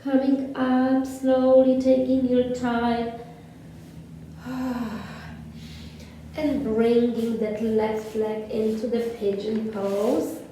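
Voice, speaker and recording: A woman with a Polish accent guiding a slow yoga class in soothing, gently paced cues with long pauses. She speaks English as a second language. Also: on the high side, level tone, slight background noise. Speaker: yoga instructor. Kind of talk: guided yoga class instruction. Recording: echoey room from far off.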